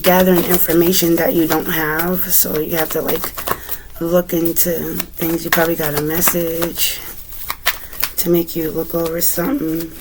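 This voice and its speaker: A woman with a raspy, recovering voice giving a relaxed, chatty tarot reading at home, with many fillers. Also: on the low side, moderate pace, heavy background noise. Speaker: tarot reader. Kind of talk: casual tarot reading to camera.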